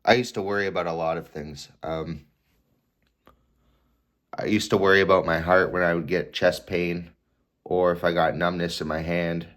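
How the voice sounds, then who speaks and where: A man in his twenties with a congested voice from a cold, calmly giving casual advice to the camera in an unhurried way, with fillers and restarts. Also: low-pitched. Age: 20s. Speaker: vlogger sharing mental health tips.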